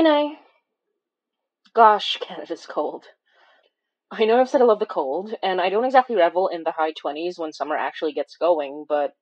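A woman's voice: lowish voice, dull audio. An actress playing a wry, weary young woman who recounts eerie events in a conversational, hesitant way, as in a horror fiction podcast. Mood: exasperated. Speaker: audio drama lead narrator. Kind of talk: confessional first-person horror podcast narration.

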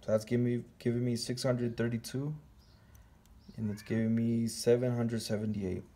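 Man, mechanic, casual step-by-step repair explanation, mid-pitch voice, faint shop noise. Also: level tone, normal speed, mellow. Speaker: auto mechanic. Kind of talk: hands-on repair tutorial. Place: garage workshop.

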